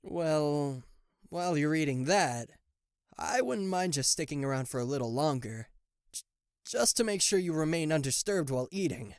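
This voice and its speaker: A man playing a cocky, playful and easily flustered king of hell as an affectionate boyfriend in an ASMR roleplay, with theatrical teasing pauses and stammers. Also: a little high, animated, smooth, dry recording, studio quality. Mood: gentle, attentive. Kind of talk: ASMR roleplay addressed to the listener.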